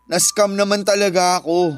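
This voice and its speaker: A man's voice: high voice, steady tone, moderate pace, natural voice. A man with a Filipino accent playing a defensive, evasive boyfriend in a radio drama. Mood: guilty, confessing. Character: defensive, evasive boyfriend caught stealing savings. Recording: music under the voice. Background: background music.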